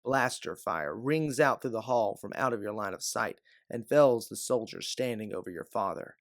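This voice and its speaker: A man's voice: lowish voice, animated, moderate pace, no room sound. Man narrating, very dry recording. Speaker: storyteller. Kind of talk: dramatic second-person scripted narration.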